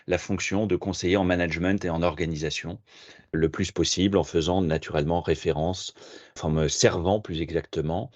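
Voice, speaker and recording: A middle-aged French man being interviewed as a philosopher, talking in a measured, reflective way with frequent hesitations. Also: low voice, a little mellow, dry recording, muffled recording. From France. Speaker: podcast guest, philosopher and management consultant. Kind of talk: interview answers.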